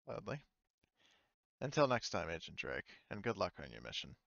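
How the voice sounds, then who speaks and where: A man's voice: sits low, sing-song, moderate pace, creaky, a bit bright, dry recording, dull audio, full character voice. A man voicing a stern, composed female marshal official in a tabletop game, speaking formally with veiled menace. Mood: smug farewell.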